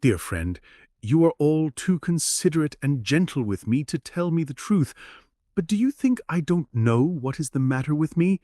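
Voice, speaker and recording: A man's voice: a little high, lively intonation, moderate pace, mellow, no room sound, studio quality, pitched above the performer's own voice, natural voice. A man voicing a sad, lonely, pleading wife in a theatrical, plaintive reading of a stage play. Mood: wistful, bitter.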